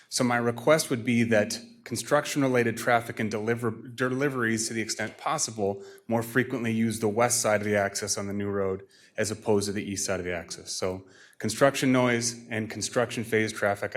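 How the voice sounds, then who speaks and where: Measured, formal man at a podium. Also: lowish voice, fairly bright tone. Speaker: resident neighbor giving public comment. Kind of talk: public comment at a city meeting. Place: city council chamber.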